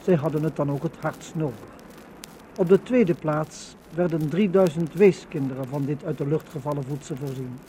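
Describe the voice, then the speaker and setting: A high-pitched male actor as a Dutch chronicler tells of the liberation on a noisy old radio recording. The delivery is formal, earnest and dramatic, in the manner of fifties docudrama. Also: normal speed, warm tone, lightly acted.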